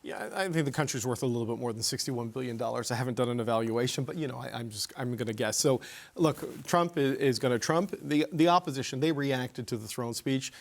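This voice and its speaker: A man's voice: medium pitch, quick pace. A Canadian man anchoring a news show conversationally from a studio.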